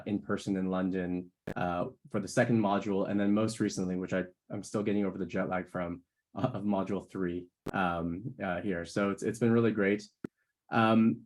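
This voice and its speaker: A man's voice: lowish voice, a little mellow. An American man, a current student, sharing his experience in a casual, conversational way with many fillers and restarts, over a video call. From United States. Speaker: current scholar and student speaker. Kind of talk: info session talk on a video call.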